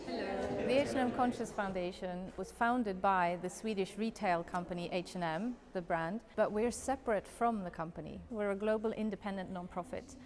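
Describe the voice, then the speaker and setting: A woman's voice: mid-range voice, normal speed, crowd noise. A woman in her thirties speaking composedly in a documentary interview, recorded with a lapel mic in a hall. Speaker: foundation representative.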